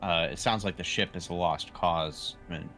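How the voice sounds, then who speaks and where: A man's voice: deep voice, steady tone, normal speed, sharp tone, soft background music. A man chatting casually as a player in a tabletop game. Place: online voice call.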